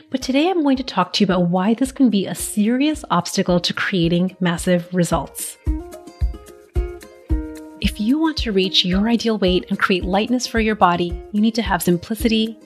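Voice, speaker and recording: A woman in her thirties hosting a podcast as a weight loss coach, slightly raspy from a cold, upbeat and encouraging as she speaks straight to her listeners. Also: mid-range voice. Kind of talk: scripted solo podcast talk.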